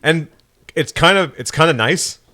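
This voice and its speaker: Bright-voiced man telling a wry story. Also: a little high, expressive, normal speed. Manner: storytelling, wry, laughs easily. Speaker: podcast co-host. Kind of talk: casual chat.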